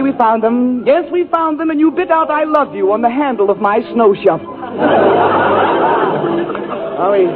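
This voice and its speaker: A man doing a hammy, mock-romantic comedy monologue on a 1940s radio show. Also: very high voice. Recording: tinny 1940s radio broadcast with band and audience. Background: orchestra music and studio audience.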